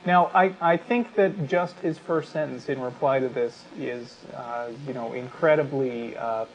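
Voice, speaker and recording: A man hosting a talk show, giving conversational commentary in a halting way, as if thinking aloud. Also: medium pitch. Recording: muffled lo-fi audio with background noise.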